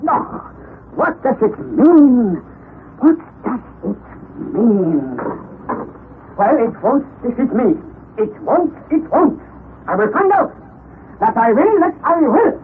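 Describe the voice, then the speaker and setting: Excitable old professor, tinny vintage radio. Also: male voice, very high-pitched, slow, pauses often, exaggerated voice. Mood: frustrated, determined. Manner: theatrical, declaiming, muttering to himself. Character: eccentric, obsessive older professor uncle, excitable and domineering. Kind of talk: old-time radio drama acting. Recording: tinny, narrow, noisy vintage radio recording.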